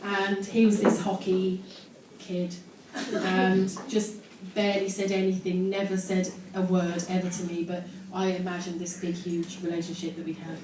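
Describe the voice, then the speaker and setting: A woman gives a self-mocking, deadpan comic reading of teenage writing to a live audience. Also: on the low side, normal speed, hardly any pauses. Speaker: amateur performer reading her teenage diary on stage. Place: indoor live show in London, audience laughing behind. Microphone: distant phone camera in the audience. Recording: echoey, noisy live venue recorded from far off.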